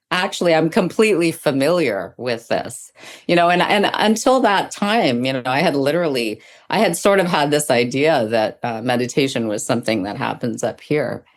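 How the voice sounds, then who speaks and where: A middle-aged woman speaking as an interview guest, thoughtful and reflective, often pausing as she explains her practice. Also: rumbling low. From Sri Lanka. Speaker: guest, dance teacher and Zen practitioner.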